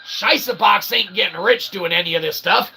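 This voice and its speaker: A man with a fairly high, gravelly voice talks straight to his audience in a rambling, opinionated monologue. He is wordy and emphatic, with a forceful edge and a habit of checking in as he goes. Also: normal speed, a little hiss or hum. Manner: verbose pseudo-philosophical phrasing, sweary, repeatedly checking "okay?"; emphatic, bursts into shouting. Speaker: self-published author and channel host.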